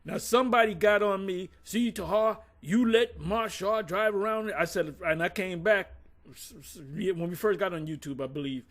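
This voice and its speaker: Brief: a man with a high voice, blunt and profane, rambling through old grievances in casual talk. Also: moderate pace, a bit bright. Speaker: former group member recounting events. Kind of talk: casual conversation.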